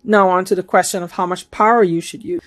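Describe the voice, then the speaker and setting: A middle-aged woman giving a measured, instructional talk to camera in a room at home. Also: medium pitch. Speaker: amateur radio video host. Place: home room with bookshelves, indoors.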